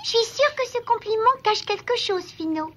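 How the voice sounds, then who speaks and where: A woman voicing a cute, excitable little girl animal in a cartoon, with an expressive girlish manner. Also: very high voice, moderate pace, faint music behind. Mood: curious. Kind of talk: French cartoon dub acting.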